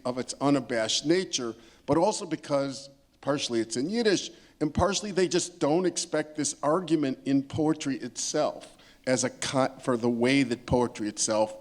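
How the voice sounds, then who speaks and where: A man speaking as a panelist, explaining poetry in an emphatic way that builds points through repeated phrases. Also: medium pitch. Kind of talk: literary commentary at a panel discussion.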